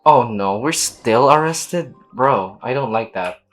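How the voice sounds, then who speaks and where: Very low-pitched, slow, offhand woman gamer. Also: expressive, soft background music. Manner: slangy, blunt, offhand. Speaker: gaming streamer. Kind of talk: casual reactions and reading along while playing.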